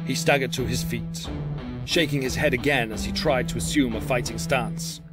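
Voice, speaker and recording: A man narrates an audio drama in a scripted, dramatic style. His voice has a medium pitch and sits over prominent music. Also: normal speed.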